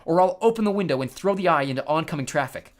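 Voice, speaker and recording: A woman's voice: low voice, animated, quick pace, pitched above the performer's own voice. A woman voicing an alarmed, sarcastic and stubborn young boy hero in an audiobook, protesting in exasperation. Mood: defiant.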